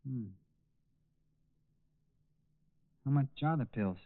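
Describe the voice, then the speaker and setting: An actor in a 1940s radio drama playing a naive, hesitant, good-natured teenage factory worker in a boyish, slangy way. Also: male voice, medium pitch, expressive, fast, no room sound, close to an everyday voice. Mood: hesitant, curious. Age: young adult. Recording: dull vintage radio recording.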